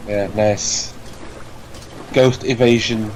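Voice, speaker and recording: A man's voice: lowish voice, steady tone, extremely slow, darkish tone, slight background noise, rough recording. A man giving casual let's play commentary, explaining what to do and swearing now and then. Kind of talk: casual gameplay commentary and chat. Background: video game music.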